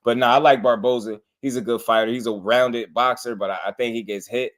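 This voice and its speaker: A man's voice: mid-range voice, fairly even intonation, a little fast, a bit bright. A young man hosting a casual boxing reaction show, laid-back, laughing often and repeating himself as he talks. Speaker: boxing channel host.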